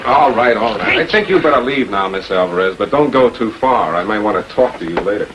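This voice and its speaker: An actor playing a cool, authoritative public prosecutor in a 1940s American TV crime drama, measured, probing and businesslike. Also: male voice, on the low side, expressive, lightly acted. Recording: dull, noisy 1940s film soundtrack.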